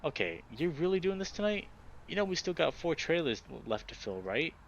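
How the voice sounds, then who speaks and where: A fed-up armadillo warehouse worker , put on by a man reading a visual novel. He nags with exasperation in a raised pitch. The recording is dull. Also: sing-song, a little fast, vocal fry. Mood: exasperated. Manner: nagging, fed-up. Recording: dull, noisy home recording.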